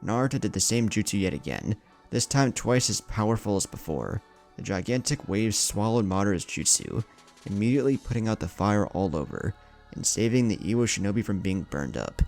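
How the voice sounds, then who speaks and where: A man's voice: on the low side, lively intonation, brisk, vocal fry, a little mellow, faint music behind. A man reading a dramatic scripted story as its narrator. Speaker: fan fiction narrator.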